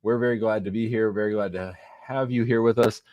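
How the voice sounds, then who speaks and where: A low-pitched man hosts a live show and talks in a loose, rambling way that often corrects itself. The sound is dry and close, with almost no room echo. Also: fairly even intonation, a little fast, highs cut off. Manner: chatty, rambling, self-correcting. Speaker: immigration lawyer, show host. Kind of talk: casual live-stream talk show.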